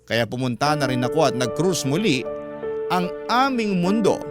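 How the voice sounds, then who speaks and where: A man's voice: fairly high pitch, big pitch swings, moderate pace. A man narrating a scripted story as a radio host, in the style of classic radio programs. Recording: clear music bed behind the voice.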